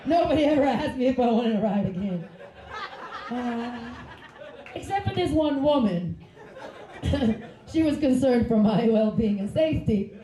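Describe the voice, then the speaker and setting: A woman tells stand-up stories in a rambling way that keeps breaking into giggles. Her voice sits a bit high with a soft, mellow tone. The stage mic picks up an echoey club room around her. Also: lively intonation, a little slow, pauses often. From Finland. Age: 30s. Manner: chatty, giggly, rambling asides. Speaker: stand-up comedian. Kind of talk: stand-up comedy set.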